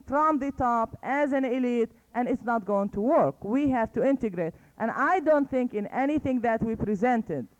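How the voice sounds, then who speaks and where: A woman's voice: a little high, darkish tone. A woman speaking at a conference, making an earnest and persuasive case and often checking in with "okay?". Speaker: conference panelist. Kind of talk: impassioned public talk. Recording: dull lo-fi recording.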